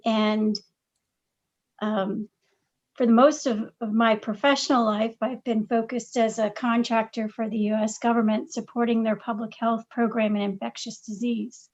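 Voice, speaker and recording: Hesitant middle-aged woman, flat delivery. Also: fairly high pitch, measured pace, highs cut off. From Massachusetts. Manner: hesitant, many fillers and restarts. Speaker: podcast guest, parent. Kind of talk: casual interview answers.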